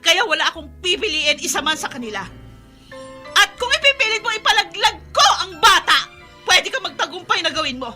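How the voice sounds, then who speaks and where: Very high-voiced defiant young Filipina wife. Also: female voice, sing-song, fairly quick, pushed and bright, soft background music, exaggerated voice. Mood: defiant, hurt. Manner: emotional, defiant. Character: defiant young pregnant captive wife, anguished and proud. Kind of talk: Filipino radio drama acting.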